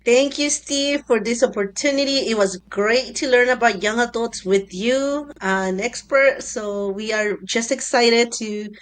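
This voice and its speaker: A woman's voice: fairly high pitch, a little slow, hardly any pauses. A woman speaking as a panelist in a casual podcast discussion.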